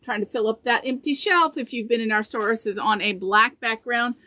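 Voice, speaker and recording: A woman's voice: a little high, a little fast, sharp tone, no room sound. A woman in her fifties co-hosting a fabric shop video, chatty and enthusiastic, repeating each item number as she shows bolts in the store. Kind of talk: casual product showcase. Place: indoor fabric store among shelves. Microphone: small camera on table nearby.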